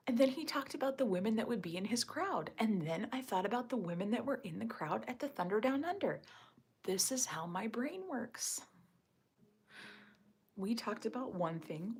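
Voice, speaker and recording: Hushed, gravelly woman in a morning whisper. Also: on the high side, lively intonation, moderate pace, a little hiss or hum. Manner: hushed, half-whispered talk, rambling and joking. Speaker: livestream host reading chat. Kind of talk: casual morning livestream chat. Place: home with others asleep. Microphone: phone or camera on a tripod.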